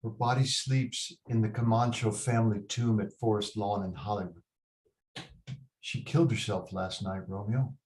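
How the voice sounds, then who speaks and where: A man's voice: lowish voice, steady tone, normal speed, darkish tone, close to an everyday voice, poor audio. A man in a table read over a video call, playing a loyal, sorrowful friend who speaks in street slang. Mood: grave, sorry. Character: loyal, sorrowful male friend bearing bad news. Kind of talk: table read of a stage play, urban street talk.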